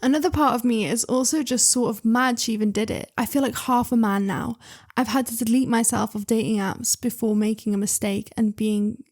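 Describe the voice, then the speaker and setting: Young woman story reader with a slightly high voice, chatty and excitable, full of asides. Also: fairly quick. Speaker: reader and channel host. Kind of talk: reading online stories aloud with commentary.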